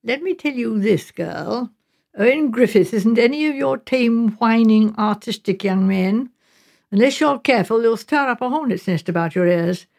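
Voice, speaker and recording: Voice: medium pitch, animated, normal speed, gravelly, darkish tone. A woman voicing a stern, dry and protective brother who admonishes, in a storybook reading. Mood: stern, warning.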